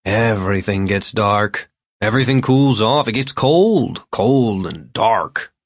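A man's voice: on the low side, animated, slow pace, no room sound, studio quality. A man voicing a drunk, disheveled middle-aged man who rambles, slurs and swings between overly polite and maudlin. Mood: rambling, maudlin. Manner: slurred, wandering, overly polite then maudlin.

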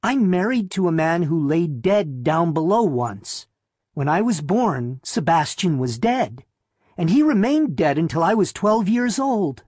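A man acts out an anxious young wife from an audiobook story. The voice is lifted high and sounds earnest and hesitant, with a stubborn streak. The sound is close and dry. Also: sing-song, a little slow, slightly rough, no echo, exaggerated voice. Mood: unyielding, devoted.

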